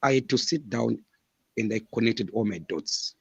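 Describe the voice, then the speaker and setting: A man's voice: mid-range voice, moderate pace, creaky, husky, no echo, dull audio. A man in his thirties from Zimbabwe, a guest author answering interview questions in an earnest, emphatic way and repeating his points. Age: 30s.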